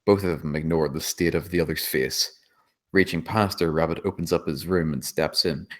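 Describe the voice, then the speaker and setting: A man with a deep voice reads screenplay action aloud. He serves as narrator, setting scenes and describing what characters do in scripted prose. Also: fairly even intonation, normal speed. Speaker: stage-direction narrator.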